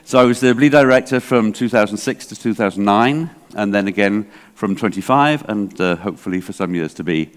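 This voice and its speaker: A man's voice: fairly low pitch, a little fast. A man in his sixties with an English accent, giving a chatty, digressive conference talk full of fillers. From England. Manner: chatty, digressive, self-deprecating, many fillers. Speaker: library association director. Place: large hall. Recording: long hall reverb.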